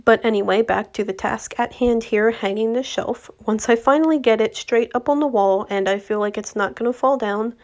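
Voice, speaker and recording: A young woman with a slightly raspy voice from a recent cold, chatting casually to viewers as she narrates her decorating vlog. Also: fairly high pitch, normal speed, few pauses, a little mellow. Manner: chatty, friendly, addresses viewers directly. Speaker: home decor vlogger.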